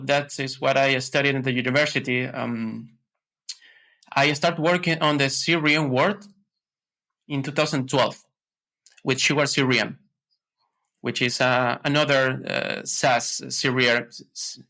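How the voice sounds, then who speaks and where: A man's voice: medium pitch, fairly even intonation, unhurried, pauses often, sharp tone. A man from Ecuador, answering podcast questions in a hesitant way, with many fillers and restarts. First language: Spanish. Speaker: podcast guest. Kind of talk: casual interview answers.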